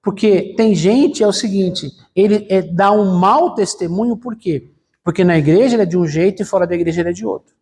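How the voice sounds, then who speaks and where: A man's voice: high-pitched, a little fast, creaky, a little mellow. A man preaching a conversational sermon as a pastor in a church, explaining with frequent fillers and rhetorical questions.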